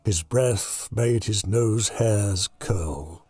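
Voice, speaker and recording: A man narrating a horror audiobook in a deliberate, dramatic storytelling style. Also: on the low side, darkish tone, no echo.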